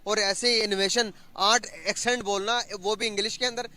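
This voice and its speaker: A man interviewing people, asking animated, leading questions. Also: sits high, moderate pace. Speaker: street interviewer. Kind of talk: reaction interview.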